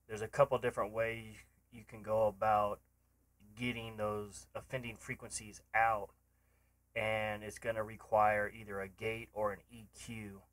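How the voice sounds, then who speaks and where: A man's voice: lowish voice, level tone, measured pace, fairly bright tone. A man casually walking through a home recording tutorial on a cheap microphone in a home room, rambling with ums and restarts. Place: home room with computer running and an open door.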